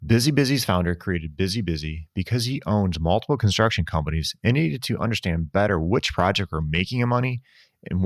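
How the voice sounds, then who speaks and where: Low-pitched man reading a podcast advert. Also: dry recording, studio quality. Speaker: sponsor ad reader. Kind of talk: scripted ad read.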